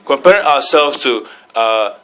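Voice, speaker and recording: This is a man speaking on a radio panel. His voice is bright and forceful, and his delivery is halting, full of pauses while he searches for the right words. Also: medium pitch, animated, a little hiss or hum. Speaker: reverend asking a panel question.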